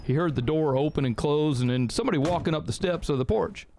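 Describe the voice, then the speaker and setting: A man's voice: on the high side, quick pace, warm tone, a little hiss or hum. A man, talking casually to viewers as host of an audio production channel and then reading out a short story. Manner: rambling and chatty, many fillers and restarts, keeps saying "man".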